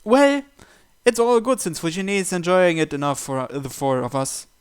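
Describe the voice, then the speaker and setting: A man's voice: high voice, normal speed, clean tone. A man reading visual novel narration aloud as he plays, with casual asides. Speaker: video game player reading along.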